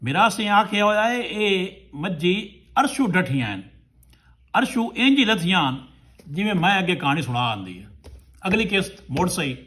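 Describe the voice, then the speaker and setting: A man's voice: high-pitched, very animated, moderate pace, sharp tone. A man telling a folk tale to listeners as a storyteller, in short rustic phrases.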